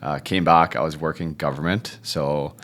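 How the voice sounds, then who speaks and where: Young male guest, Cantonese first language, extremely low voice, loose and chatty delivery. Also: moderate pace. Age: 20s. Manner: relaxed, rambling, fond of the tag "if you will, right?". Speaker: podcast guest, realtor. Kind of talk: casual interview chat.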